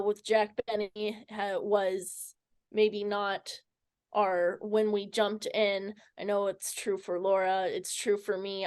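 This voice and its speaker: A woman's voice: medium pitch, dry recording. A woman, speaking conversationally as an interviewer.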